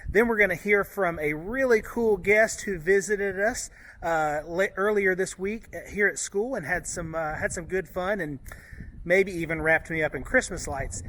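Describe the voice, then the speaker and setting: A man's voice: sits high, normal speed, a bit bright. A man giving an upbeat, informal welcome announcement to school families as the host.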